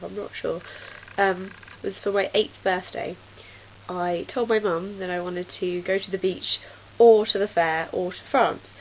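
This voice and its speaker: Young woman chatting in a lo-fi recording. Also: lowish voice. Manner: rambling, chatty, many fillers. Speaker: vlogger. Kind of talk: casual chat. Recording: dull lo-fi webcam audio with faint hiss.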